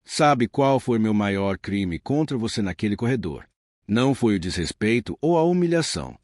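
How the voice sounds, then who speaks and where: A man reading the lines of a wealthy businessman, now humbled and reflective, speaking in a measured way. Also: fairly low pitch, sing-song, darkish tone, no room sound, clean studio sound.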